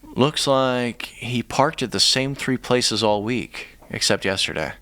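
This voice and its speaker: A man's voice: medium pitch, slow pace, bright, forceful tone. A man playing an amateur sleuth's nosy, persistent sidekick in an audio drama, chatty and eager. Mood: eager, insistent. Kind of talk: naturalistic audio drama acting.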